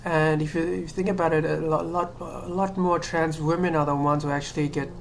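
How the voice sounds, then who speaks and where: A woman's voice: low-pitched, flat delivery, fairly quick, gravelly, a little hiss or hum. A woman from Sri Lanka talking to camera in a casual monologue, hesitant, with many fillers and restarts. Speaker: vlogger.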